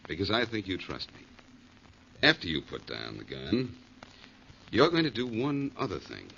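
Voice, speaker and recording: Deep, gravelly, confident American detective. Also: male voice, huge pitch swings, moderate pace, pushed and bright, heavily acted. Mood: firm, persuasive. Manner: insistent, theatrical, wry. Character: shrewd, confident male amateur detective, coolly needling. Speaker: lead actor in a detective radio series. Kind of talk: 1940s radio acting. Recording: muffled, hissy 1940s radio recording.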